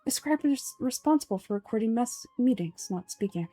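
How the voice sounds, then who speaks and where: A woman's voice: a little high, expressive, fairly quick, warm tone, breathy edge, no room sound, faint music behind. A woman voicing an aloof, matter-of-fact male scholar in a game script, dry and pedantic. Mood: dismissive.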